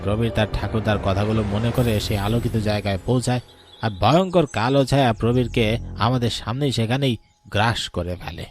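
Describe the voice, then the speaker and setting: A man's voice: on the low side, fairly quick, faint music behind, close to an everyday voice. A man playing a grave older village uncle who knows about spirits, speaking solemnly in a dramatic cartoon story. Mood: grave, warning.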